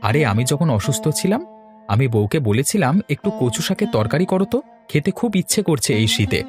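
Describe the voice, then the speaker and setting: A man's voice: a little high, very animated, moderate pace. A man voicing cartoon dialogue in a conversational, explanatory way. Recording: music behind the voice.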